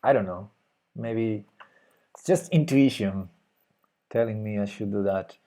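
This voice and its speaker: A man's voice: on the low side, unhurried, mellow. A man with a Spanish accent streaming live chess, thinking aloud and hesitantly muttering his moves. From Spain. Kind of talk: live chess commentary while playing.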